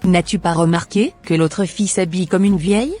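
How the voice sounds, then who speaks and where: Woman voicing a husky, haughty team leader. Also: fairly low pitch, brisk, a little mellow, soft background music, lightly acted.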